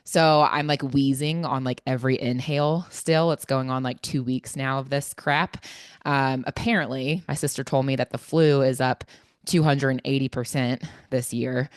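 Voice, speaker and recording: A woman's voice: rumbling low, steady tone, normal speed, no room sound, clean studio sound. A woman hosting a casual solo podcast on nutrition, sounding stuffy and congested, chatty and informal with lots of fillers. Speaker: podcast host and fitness coach.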